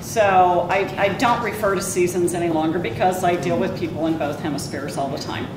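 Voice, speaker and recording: Woman executive, frank panel talk, lowish voice, distant echoey hall. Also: brisk. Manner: frank, conversational, laughs at herself. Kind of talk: panel discussion remarks. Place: large university event hall. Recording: echoey hall, distant mic, background rumble.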